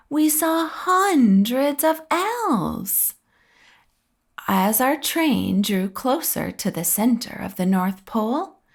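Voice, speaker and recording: A woman reading a children's story aloud with expressive, drawn-out phrasing and a breathy edge at the ends of phrases. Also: on the high side. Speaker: storybook reader.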